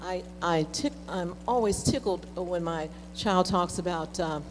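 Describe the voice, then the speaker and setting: A middle-aged woman host speaks very slowly and reflectively, in short deliberate phrases. The sound has long hall reverb and a clear hum. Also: fairly low pitch. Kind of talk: reflective address to an audience. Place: large reverberant hall at a conference.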